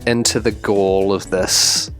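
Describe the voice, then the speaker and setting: A man's voice: fairly low pitch, steady tone, takes it slowly, fairly bright tone. A man with a New Zealand accent chatting casually as a tabletop game player, hesitant and thinking aloud. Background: faint music.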